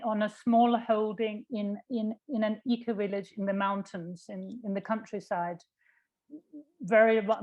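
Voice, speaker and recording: Woman, Danish accent, workshop presenter, conversational, searching for words, mid pitch, dull lo-fi audio. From Denmark. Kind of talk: informal spoken introduction.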